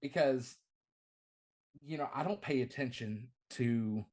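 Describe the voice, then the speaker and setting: A middle-aged man who calls and announces wrestling, chatting loosely in an interview. His voice sits at a medium pitch, not deep, but it carries well. He talks with eager energy and keeps doubling words as he gets a sentence going. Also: slow, a little mellow. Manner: enthusiastic, repeats words at the start of phrases. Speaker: wrestling commentator and ring announcer. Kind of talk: casual podcast interview.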